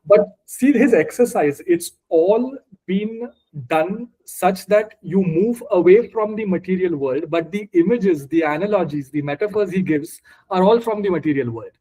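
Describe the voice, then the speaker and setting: A man's voice: high voice, animated, normal speed, mellow, poor audio. A man from India with an Indian accent and Marathi as his first language, teaching a scripture study group informally, explaining word by word. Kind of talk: informal explanatory lesson with questions.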